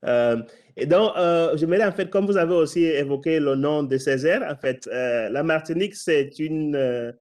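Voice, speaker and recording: A man's voice: a little high, moderate pace, darkish tone. A male interviewer asking questions in a hesitant way, often restarting and repeating filler words.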